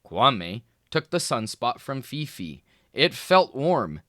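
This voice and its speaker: Male read-aloud narrator, expressive storybook delivery, bright voice, slow pace. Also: medium pitch, dry recording, clean studio sound. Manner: lively, expressive reading with small voice shifts for characters. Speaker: children's book reader.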